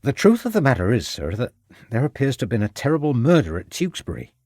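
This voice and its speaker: A man's voice: medium pitch, wildly swooping pitch, fast talker, a little mellow, no echo, studio quality. A man voicing a young, red-faced village constable who reports earnestly and deferentially.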